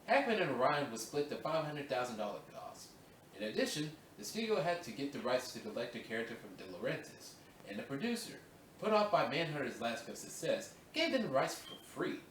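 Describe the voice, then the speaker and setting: A man's voice: mid-range voice, sing-song, a little fast, fairly bright tone, a little hiss or hum. Casual man reading voice-over takes in an echoey room. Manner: casual, restarts lines and corrects his own reading. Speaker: video essay narrator. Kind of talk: recording voice-over takes with off-script chat. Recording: roomy echo, distant mic, faint ambience.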